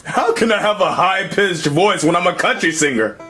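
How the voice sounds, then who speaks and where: A man voicing a laid-back, country-singing puppet Toad with a slow, gravelly drawl. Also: expressive, pushed and bright. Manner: slow drawl, gravelly, swings wildly in pitch. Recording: clip with background music.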